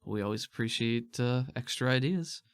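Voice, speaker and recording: A man's voice: mid-range voice, normal speed, no echo, clean studio sound. A man talking casually as a podcast co-host and game master.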